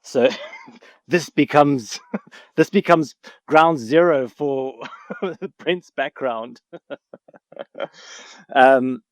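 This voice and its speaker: A man's voice: mid-range voice, extremely slow, long pauses, dry recording. A man from Zimbabwe, a guest on a podcast, chatting casually and enthusiastically, often laughing. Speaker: guest, film writer-director. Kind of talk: casual interview chat.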